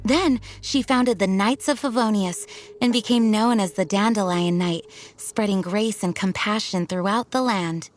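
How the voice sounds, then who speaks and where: An actress voicing a dutiful, earnest female knight commander in a video game, speaking formally and in measured tones. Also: mid-range voice, faint music behind, close to an everyday voice.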